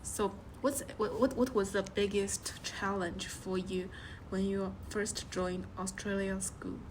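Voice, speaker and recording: Woman asking interview questions, polite, stumbles a bit, mid pitch, faint noise. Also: little pitch movement, low-quality recording. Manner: polite, slightly halting. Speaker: interviewer, student.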